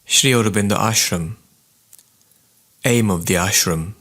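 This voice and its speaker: A man reading spiritual writings as scripted narration, in a measured, formal and deliberate manner. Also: fairly low pitch, a bit bright.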